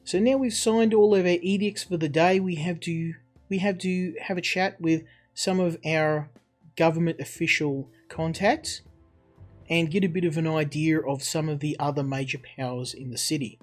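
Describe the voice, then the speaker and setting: Male game reviewer, slightly high voice, running commentary, reading text aloud, soft guitar music behind. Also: fairly even intonation, moderate pace.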